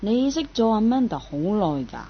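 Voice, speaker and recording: A tomboyish, possessive young rival in a Cantonese radio drama. Her mid-pitched voice has a Hong Kong accent and a pointed, cagey delivery, on a slightly lo-fi recording. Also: sing-song, moderate pace, slightly rough. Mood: suspicious, possessive. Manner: pointed, probing.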